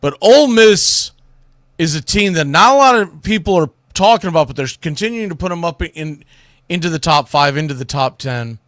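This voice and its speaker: A man's voice: fairly high pitch, animated, normal speed, a bit bright, no echo, studio quality. A man hosting sports radio, giving opinionated college football commentary in a blunt, emphatic, colloquial way.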